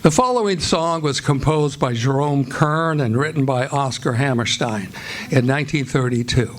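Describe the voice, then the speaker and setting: A man's voice: medium pitch, moderate pace, noisy background. An American man announcing in a formal, declamatory old-time radio style as host and director of a live theatre performance. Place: live stage performance before an audience.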